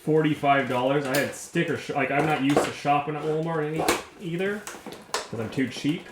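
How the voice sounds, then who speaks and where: Gravelly-voiced man, easygoing and rambling. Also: on the high side, normal speed, heavy background noise. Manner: easygoing, rambling, self-mocking. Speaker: vlogger unboxing toys. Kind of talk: casual unboxing chat.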